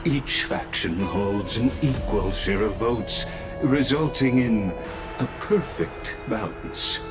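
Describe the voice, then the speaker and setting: Brief: formal herald, low voice, very slow and weighty, score behind. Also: male voice, over music. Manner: formal, deliberate announcements. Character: grave, formal male assembly herald. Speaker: assembly official overseeing a vote. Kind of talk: video game voice acting.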